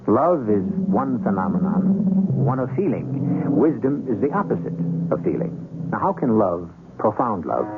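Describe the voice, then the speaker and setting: Male mystery-radio narrator: deepish, animated, deliberate delivery, band-limited vintage recording. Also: narrow, tinny audio. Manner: deliberate, dramatic, philosophical. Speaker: host and narrator. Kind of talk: old-time radio drama narration.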